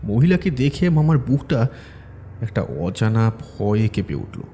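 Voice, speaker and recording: Brief: male horror narrator, low and mellow, dramatic and suspenseful. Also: big pitch swings, a little slow, big-room sound, slight background noise. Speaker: storyteller narrator.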